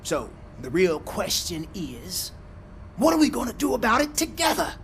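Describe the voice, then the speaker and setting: A man's voice: high-pitched, wildly swooping pitch, normal speed, a bit bright, a little hiss or hum, heavily acted. A man playing a slick, self-serving city mayor in a video game, with an oily, grandiose campaigner's delivery. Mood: persuasive, campaigning.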